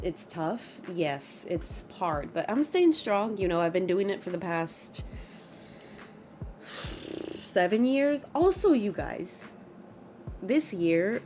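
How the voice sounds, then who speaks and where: Chatty streamer woman in her twenties. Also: on the low side. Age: 20s. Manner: chatty, animated, reacts with gasps and laughs. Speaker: livestreamer. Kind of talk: casual chat while gaming, reading chat aloud.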